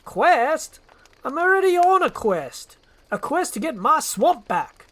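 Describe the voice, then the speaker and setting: A performer voicing a big, gruff, irritable male ogre in a storytelling roleplay, blunt and exasperated. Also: high voice, big pitch swings, slow pace, a bit bright, voice pushed up, full character voice. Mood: indignant.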